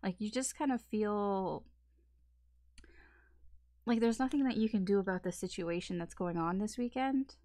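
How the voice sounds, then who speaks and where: Brief: woman tarot reader, chatty and meandering, mid-pitched, dry room sound. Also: moderate pace, pauses often, mellow, no room sound. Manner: rambling, filler-heavy talk. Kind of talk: casual weekend tarot reading.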